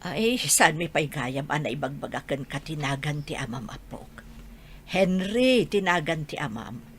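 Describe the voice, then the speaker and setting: A woman's voice: sits low, lively intonation, unhurried, a little hiss or hum. A woman playing a sorrowful old grandmother who longs for her son, speaking with an Ilocano accent in a plaintive, sighing way, as in a radio drama. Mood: sorrowful, gentle.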